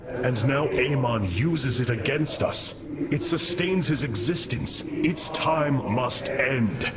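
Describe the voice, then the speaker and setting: An actor playing a noble, resolute alien warrior leader in a sci-fi game, formal and commanding. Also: male voice, mid-range voice, expressive, takes it slowly, very noisy. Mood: firm, urgent. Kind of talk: dramatic sci-fi game acting. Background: loud dramatic music.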